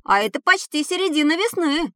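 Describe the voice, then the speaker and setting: A woman's voice: sits high, very animated, fairly quick, sharp tone, no room sound, studio quality, full character voice. A woman playing a curious, questioning child in an educational audio drama, inquisitive and easily surprised. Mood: curious, puzzled.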